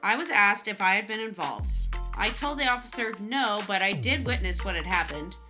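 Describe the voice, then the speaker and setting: A woman's voice: medium pitch, very animated, normal speed. A woman hosting and narrating scripted stories with her own commentary. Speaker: narrator and host. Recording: music under the voice.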